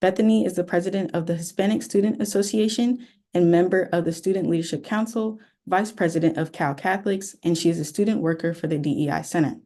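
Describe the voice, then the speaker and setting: A woman moderating a panel, reading introductions and questions in a measured, scripted way. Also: lowish voice, fairly even intonation, dark tone. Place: university event room.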